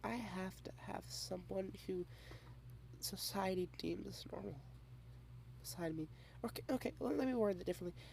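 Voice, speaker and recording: A woman talks softly and close to the microphone in an ASMR girlfriend roleplay, drifting in and out of half-whispers. She rambles shyly, restarting her sentences, and her voice carries a gravelly fry at a mid pitch. A faint hiss of noise sits underneath. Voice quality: soft, often half-whispered. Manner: shy, rambling and halting, with many repeated starts.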